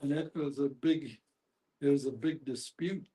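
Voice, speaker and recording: Halting man with a higher-pitched voice. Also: warm tone, poor audio. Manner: hesitant, halting. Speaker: discussion participant. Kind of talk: casual group discussion.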